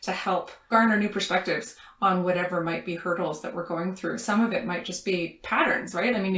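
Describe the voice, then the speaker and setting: Lower-voiced woman hosting in an echoey room. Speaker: podcast host. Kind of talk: conversational interviewing.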